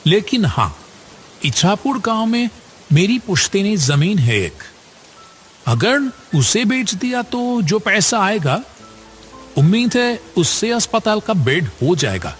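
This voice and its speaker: Man playing a kindly old headmaster, swooping pitch. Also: big pitch swings, takes it slowly, fairly bright tone, faint music behind, full character voice. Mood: generous, reassuring. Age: older. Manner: chatty, avuncular, questioning. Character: kindly old village headmaster, concerned and generous. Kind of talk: Hindi cartoon story acting.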